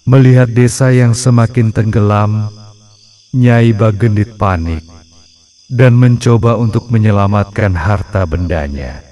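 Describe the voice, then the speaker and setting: A man narrating a scripted folktale in a measured, dramatic storybook manner. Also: on the low side, mellow.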